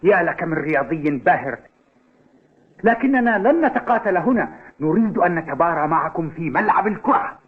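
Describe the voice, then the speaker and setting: Cocky cartoon football challenger, high voice, mocking, provocative, tinny narrow-band audio. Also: male voice, lively intonation, a little fast, slightly rough. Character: taunting, cocky male school football team challenger. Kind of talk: Arabic cartoon dubbing. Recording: tinny, phone-like old TV dub audio.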